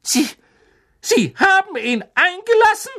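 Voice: medium pitch, big pitch swings, moderate pace, breathy edge. A reader voicing a strict older female professor in a storybook, scolding and indignant. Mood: shrieking.

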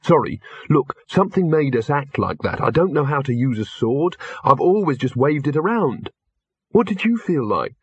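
A man's voice: on the high side, sing-song, moderate pace, husky, highs cut off, pitched above the performer's own voice, exaggerated voice. A man reading the part of a wry, practical, impatient young man in an audiobook, dry and direct. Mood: puzzled. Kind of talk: audiobook character voice.

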